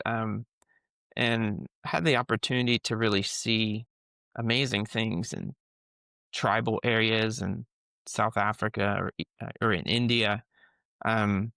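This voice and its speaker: A man, a guest author on a podcast, speaking earnestly and haltingly, often restarting his sentences. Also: medium pitch, fairly bright tone, dry recording. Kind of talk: casual conversation.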